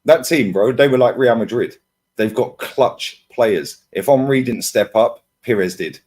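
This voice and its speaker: A man's voice: medium pitch, unhurried, darkish tone. A young man talking football as a guest, tagging his sentences with "yeah?" and "bro". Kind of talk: casual football chat.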